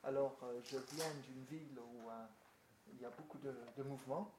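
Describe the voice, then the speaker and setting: A man's voice: mid-range voice, moderate pace. An older man from Britain with a British accent, a pastor speaking casually to a group in French, hesitant with many fillers and restarts. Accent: British English. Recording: echoey room with faint noise.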